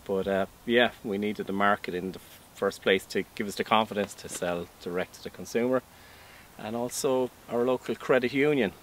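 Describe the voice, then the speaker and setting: A man's voice: on the low side, moderate pace, slight background noise. A man in his fifties from Ireland, an organic farmer speaking plainly and matter-of-factly in an outdoor interview. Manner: plain, matter-of-fact, listing details. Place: outdoors in a vegetable field. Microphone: camera mic, standing interview.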